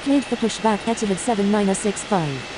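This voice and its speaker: A woman's voice: mid-range voice, expressive, brisk, noisy background, natural voice. A woman playing an airline pilot in a flight game, reciting procedural radio calls in a clipped manner. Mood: businesslike. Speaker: airline pilot on air traffic control radio. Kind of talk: scripted radio phraseology.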